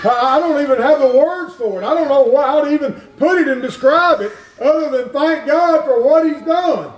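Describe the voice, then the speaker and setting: A middle-aged man preaching a folksy revival sermon in a church, climbing into shouts. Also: very high-pitched, normal speed, far from the mic. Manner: folksy, plain-spoken, rises to shouting. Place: wood-paneled church sanctuary. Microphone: far room camera. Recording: echoey church heard from afar.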